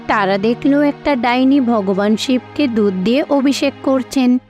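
A woman narrates a cartoon fairy tale in a high-pitched voice. The recording is slightly dull, with music playing behind her. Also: a little slow, a little mellow. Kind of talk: scripted storybook narration for a cartoon.

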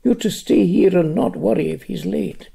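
An elderly Scottish man voicing a sharp-tongued, affectionate woman in a story reading, scolding and teasing in a creaky, husky voice. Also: high-pitched, moderate pace, full character voice. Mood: brisk, fond.

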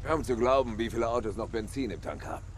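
A male actor playing a gruff, bitter middle-aged survivor in a video game dub, curt and quick to swear. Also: medium pitch, lively intonation, close to an everyday voice. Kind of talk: dubbed video game acting. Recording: noisy game cutscene soundtrack.

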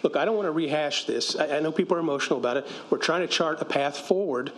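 A man's voice: fairly high pitch, fairly bright tone, a little hiss or hum. A middle-aged man speaking firmly at a council meeting, explaining and defending an agreement. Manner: firm, explanatory, quick-talking. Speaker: county official at a council meeting. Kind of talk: council debate. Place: council chamber. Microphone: desk microphone.

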